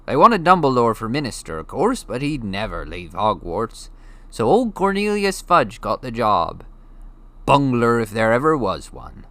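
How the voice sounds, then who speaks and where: A man reading an audiobook, voicing a gruff, hearty, friendly giant with rough, folksy, blustery speech. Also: mid-range voice, big pitch swings, measured pace, bright, forceful tone, no room sound, voice pushed up. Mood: grumbling, blustery. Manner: folksy, blustery, dropped grammar. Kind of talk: audiobook character voice.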